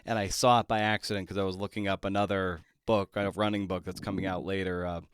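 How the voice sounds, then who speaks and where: A man hosting a conversational interview podcast, asking informal, slightly rambling questions in a halting way. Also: low voice, flat delivery, clean tone, dry recording, studio quality. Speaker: podcast host interviewing an author.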